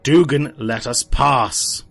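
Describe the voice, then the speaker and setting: A man's voice: medium pitch, slow, creaky, soft background music, exaggerated voice. A man acting a stern, commanding veteran soldier with clipped, resolute speech in an audio drama. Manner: clipped orders, dropping to urgent hushed tones.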